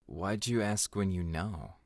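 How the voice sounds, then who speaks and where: A brooding young bad boy from a visual novel, as a game host puts him on. He speaks low and slowly in curt, cool replies. The sound is dry and close. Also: darkish tone, no echo.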